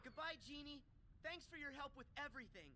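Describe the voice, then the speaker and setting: A man's voice: extremely high pitch, expressive, fairly quick, bright, forceful tone, slight background noise, full character voice. A man voicing an earnest, eager young boy hero, loyal and cheerful, in a video game. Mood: warm gratitude. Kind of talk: video game cutscene voice acting.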